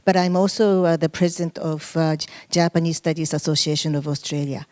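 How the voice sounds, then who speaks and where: A woman's voice: sits low, little pitch movement, a little fast, darkish tone. A woman making a hesitant comment from the floor at an academic conference, with many fillers and restarts. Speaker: conference fellow and association president. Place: conference room.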